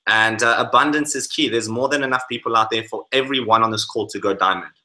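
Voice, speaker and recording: A man's voice: mid-range voice, fast talker, pushed and bright. A man sharing on a team call, speaking earnestly and encouragingly. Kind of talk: motivational testimony.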